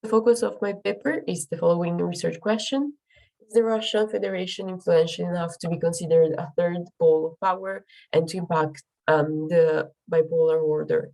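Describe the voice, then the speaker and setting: A woman's voice: on the low side, fairly even intonation, moderate pace, gravelly, mellow, poor audio. Woman with a cold presenting research. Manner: careful, many filler ums and restarts. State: voice affected by a cold. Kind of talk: academic presentation.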